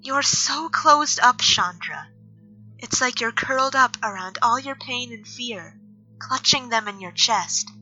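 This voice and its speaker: A woman's voice: fairly high pitch, expressive, measured pace, sharp tone, exaggerated voice. A woman playing a serious, guarded elf nature mage in an audio drama, speaking in earnest, precise wording. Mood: gently insistent. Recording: slightly dull audio, music underneath. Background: music.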